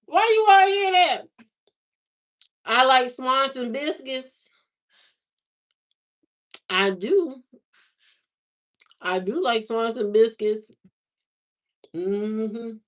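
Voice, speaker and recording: A woman hosting a livestream, chatting casually with viewers in a slow, drawling, musing way. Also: fairly high pitch, lively intonation. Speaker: livestream host. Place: lives in Indiana, not from there.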